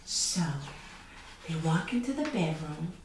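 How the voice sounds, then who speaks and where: A woman's voice: on the low side, takes it slowly. Children's storytime host, woman, middle-aged: emphatic, questioning delivery, very echoey, recorded from a distance. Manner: animated, emphatic, asks the listener questions. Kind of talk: children's storytelling and moral lesson. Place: small indoor room with chalkboard set. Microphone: camera mic a few feet away. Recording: echoey room, distant camera mic, faint noise.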